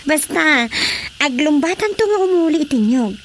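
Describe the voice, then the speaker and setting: Very high-pitched, teasing Ilocano young woman. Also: sing-song, measured pace, a bit bright, slight background noise, heavily acted. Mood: mocking, playful. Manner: playful, drawling, giggly. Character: teasing, giggly young village woman being courted. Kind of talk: Filipino radio drama comedy acting.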